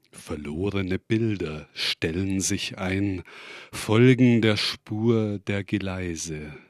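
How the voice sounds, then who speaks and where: A man reading poetry in measured, separated short phrases. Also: deep voice, no echo, studio quality. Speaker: poet reading own work.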